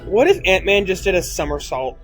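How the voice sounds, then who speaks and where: A man's voice: sits high, very animated, fairly quick. A man co-hosting casual film commentary, chiming in with short reactions. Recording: music playing behind the voice.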